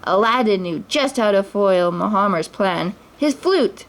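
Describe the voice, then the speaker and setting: A mother reading a children's storybook aloud in a gentle voice, with small slips and restarts. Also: mid-range voice, expressive, a little slow.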